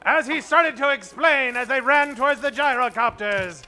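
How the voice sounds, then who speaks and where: A man's voice: very high-pitched, normal speed, bright, forceful tone, soft background music. A man hosting and narrating an old-time radio comedy serial with a dramatic announcer delivery. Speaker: host and narrator.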